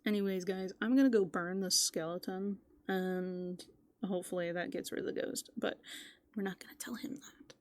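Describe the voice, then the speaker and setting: A woman playing a playful, easygoing raccoon in an ASMR roleplay, soft-spoken and casual, often dropping into whispers. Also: fairly low pitch, steady tone, moderate pace, lightly acted. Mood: relaxed, amused. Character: playful, easygoing female raccoon living in a dumpster. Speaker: ASMR host.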